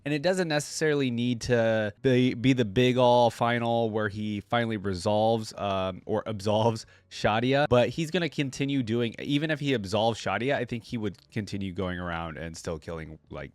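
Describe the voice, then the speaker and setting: A man's voice: fairly low pitch, normal speed, hardly any pauses, smooth, dry recording. A man giving casual, rambling commentary as a reaction video host, conversational and unscripted. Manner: rambling, conversational, often adds "like" and "right?".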